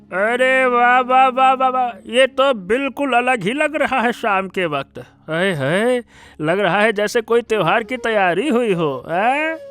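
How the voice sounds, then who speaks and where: A man playing a warm, sentimental elderly village father in a Hindi cartoon story, given to exclaiming. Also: extremely high pitch, animated, unhurried, faint music behind, full character voice. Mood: delighted. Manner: exclamatory, repeats words of praise.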